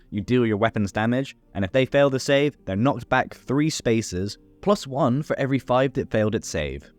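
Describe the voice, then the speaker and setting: A man's voice: medium pitch, dry recording. A man hosting a tabletop game tutorial, narrating a scripted explainer step by step with informal asides.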